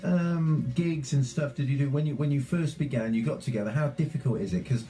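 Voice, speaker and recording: A man's voice: mid-range voice, brisk, warm tone, faint music behind, rough recording. A man hosting a community radio show, chatting casually and rambling with lots of fillers. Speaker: radio host.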